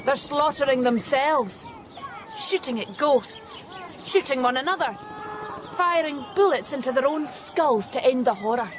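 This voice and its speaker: A woman playing an eerie alien replica of a child in a radio drama, glib, taunting and unnervingly calm. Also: sits high, a little slow, background noise. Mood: coolly menacing.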